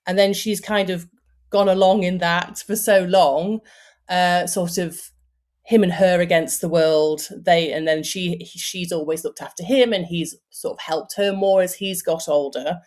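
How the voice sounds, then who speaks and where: A woman's voice: lowish voice, darkish tone. A woman in her forties, an author, talking about her book in a casual interview, chatty with lots of fillers and restarts. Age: 40s.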